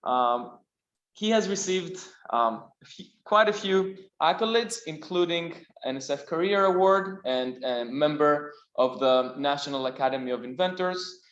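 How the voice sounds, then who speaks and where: A man with a Greek accent and a fairly high voice introduces a speaker at a seminar. He halts often and fills pauses with ums. The room is echoey and the sound is dull. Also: animated, a bit bright. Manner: halting, many fillers. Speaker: seminar host. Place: lecture room.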